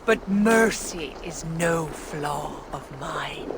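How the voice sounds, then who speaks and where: Mocking fire giant warlord, video game villain, high-pitched, unhurried threats, noisy water ambience. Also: male voice, lively intonation. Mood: mocking menace. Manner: slow, taunting, menacing.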